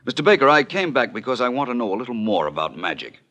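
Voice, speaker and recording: An actor playing a confident, urbane, theatrical detective in a 1940s radio drama, with a smooth, knowing, drawling manner. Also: male voice, mid-range voice, sing-song, fast talker, bright, forceful tone. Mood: smoothly curious.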